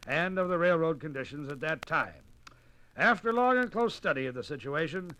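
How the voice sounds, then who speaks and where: A grave, proud aging railroad magnate in a 1950s radio dramatization. His voice is highish and forceful, with a formal, deliberate, oratorical delivery that looks back on a life's work. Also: rough recording. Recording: 1950s radio transcription on vinyl.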